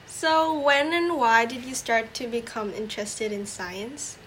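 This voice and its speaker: A woman's voice: a little high, unhurried, slight background noise. A young female student co-host reading a prepared introduction and questions in a formal manner. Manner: bright, formal, reads prepared lines. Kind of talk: scripted show introduction.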